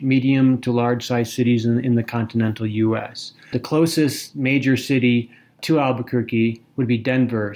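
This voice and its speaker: Measured, articulate man co-hosting a podcast. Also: medium pitch, darkish tone. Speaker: podcast co-host. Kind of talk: literary discussion with prepared remarks.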